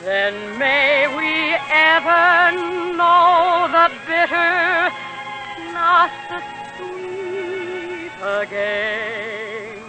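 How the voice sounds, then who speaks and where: A woman singing a slow, sentimental 1940s song as featured vocalist on a radio broadcast. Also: extremely high pitch, over music, low-quality recording. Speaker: featured singer.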